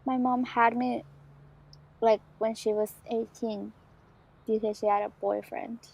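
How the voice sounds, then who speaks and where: Young woman as a high-voiced, guarded teenage girl. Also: level tone, measured pace, vocal fry, a little mellow, husky, exaggerated voice, low-quality recording. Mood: defensive. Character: cautious, anxious seventeen-year-old girl, guarded and stubborn. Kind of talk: student audio drama acting.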